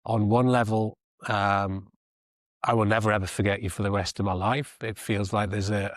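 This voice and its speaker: A man's voice: lowish voice, no room sound, studio quality. A man hosting an interview podcast, talking reflectively and repeating words as he gathers his thoughts. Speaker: podcast host. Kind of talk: casual interview talk.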